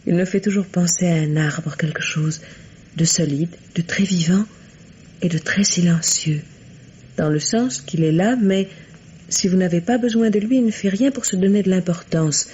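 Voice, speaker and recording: A woman's voice: lowish voice, moderate pace, mellow, slight background noise, natural voice. An actress playing an evasive, wounded young woman under police questioning in a radio mystery, hesitant and pleading. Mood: wistful, confiding. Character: evasive, wounded young woman suspected of murdering her husband. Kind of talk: 1950s French radio mystery acting. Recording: muffled vintage radio drama sound.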